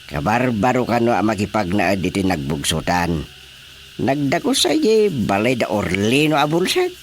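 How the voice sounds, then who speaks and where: Casting: helpful, questioning village official, mid-pitched with very lively intonation, radio comedy, faint noise. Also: male voice, moderate pace. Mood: curious. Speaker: barangay official. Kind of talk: Ilocano radio drama comedy acting.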